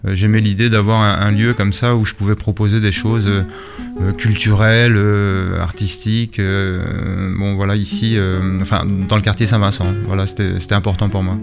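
A man's voice: on the low side, little pitch movement, normal speed, creaky. A middle-aged man being interviewed in a radio studio, talking conversationally and often repeating words as he searches for his phrasing. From Spain and Belgium, lived in France. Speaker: interview guest, shop and gallery owner, artist. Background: guitar music playing behind the voice.

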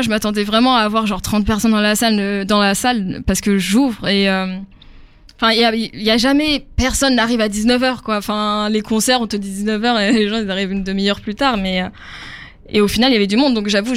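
A woman's voice: medium pitch, fairly even intonation, brisk, clear, resonant tone, no echo. A young woman singer from Africa, answering interview questions casually with many fillers and easy laughs.

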